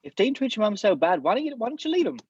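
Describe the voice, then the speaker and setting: Woman reading a rowdy lad, swoops all over, husky and muffled. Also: medium pitch, very animated, very fast, darkish tone, no room sound. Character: rowdy young male mate.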